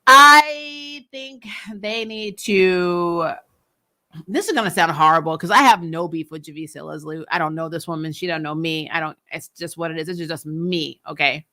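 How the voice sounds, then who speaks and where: A woman with a somewhat low voice hosts a casual recap show. She talks off the cuff like a fan answering viewers, blunt and opinionated. Her manner is exasperated and freely sweary. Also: moderate pace, bright, forceful tone. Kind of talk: casual opinionated fan commentary, replying to live comments.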